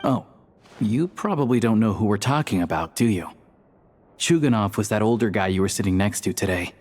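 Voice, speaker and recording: A man's voice: fairly low pitch, expressive, fairly quick, darkish tone. A man voicing an easygoing, level-headed young member of a secret society in a video game, explaining things casually. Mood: casual, resigned.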